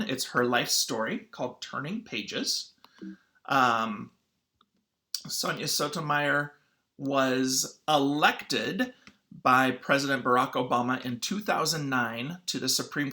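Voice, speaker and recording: A man speaking as a schoolteacher in an informal read-aloud lesson for children, halting and often clearing his throat. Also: a little high, bright, forceful tone.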